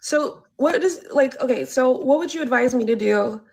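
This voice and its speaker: A woman in her early thirties from the Bay Area, with a mid-high voice, calls in for relationship advice. She speaks haltingly, filling her pauses with ums and likes. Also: crowd noise. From San Francisco Bay Area. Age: 30s. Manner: hesitant, lots of ums and likes. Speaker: caller seeking advice.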